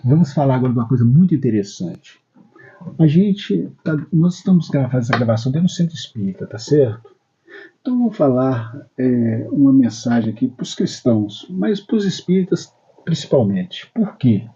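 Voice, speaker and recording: A man's voice: mid-range voice, very animated, moderate pace, dark tone, dull audio, a little hiss or hum. A man hosting a religious talk program in a spiritist center, speaking in a casual, storytelling way with frequent tag questions. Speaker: program host.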